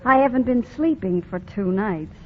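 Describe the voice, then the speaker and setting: A woman's voice: mid-range voice, lively intonation, normal speed, close to an everyday voice. An actress playing a tired young working mother in a 1940s radio drama who talks in a dry and sardonic way. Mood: weary. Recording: muffled 1940 radio broadcast, faint hiss.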